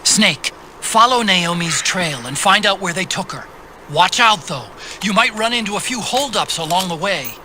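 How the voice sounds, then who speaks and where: Earnest, high-voiced support partner. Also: male voice, expressive, normal speed, background noise. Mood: urgent, cautioning. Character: earnest, alert male tech support partner. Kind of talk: video game voice acting, radio briefing.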